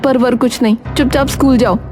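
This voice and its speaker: A woman's voice: high voice, fairly even intonation, brisk, warm tone, close to an everyday voice. A woman voicing a worried, strict mother from a poor household in a cartoon story, admonishing in manner. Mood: dismissive. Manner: stern, admonishing.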